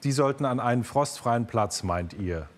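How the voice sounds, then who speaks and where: A man's voice: mid-range voice, expressive, normal speed. A man hosting a quiz show, explaining answers in an unscripted way.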